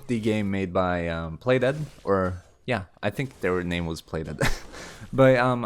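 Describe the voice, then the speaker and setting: A young man in his late teens with a very low voice, chatting through viewer questions. His talk rambles loosely, full of ums and restarts. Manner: rambling, with many fillers and restarts. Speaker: YouTube creator. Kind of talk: casual Q&A chat.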